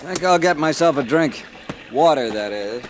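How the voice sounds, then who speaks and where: A man's voice: on the high side, expressive, normal speed, a little hiss or hum, natural voice. A man playing a plain-spoken, feisty frontier sidekick in an old-time radio western, blunt and folksy. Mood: uneasy, wry. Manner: folksy, blunt, clipped questions.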